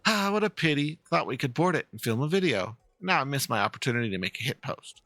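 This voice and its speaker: A man voicing an earnest, worried young fox-girl in halting, polite speech, reading out video game dialogue. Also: mid-range voice, big pitch swings, pushed and bright, no echo. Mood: eager, disappointed.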